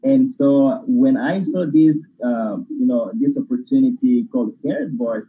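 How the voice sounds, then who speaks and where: A man's voice: medium pitch, a little slow, a little hiss or hum. Angolan man on a tinny phone line. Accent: Angolan Portuguese. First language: Portuguese. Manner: effusive, grateful, laughs while talking. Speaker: guest. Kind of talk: casual interview chat.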